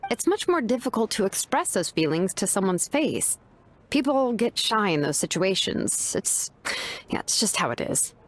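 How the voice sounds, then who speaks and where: A woman's voice: medium pitch, big pitch swings, moderate pace. A woman playing a laid-back, sincere young craftswoman in an anime game, speaking in a casual, frank way with slight hesitation. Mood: casual, awkward.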